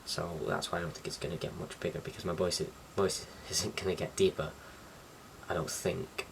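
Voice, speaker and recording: Low-voiced young man, chatting casually. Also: flat delivery, normal speed, gravelly. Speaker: vlogger. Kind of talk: casual chat to camera. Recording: distant mic with faint background noise.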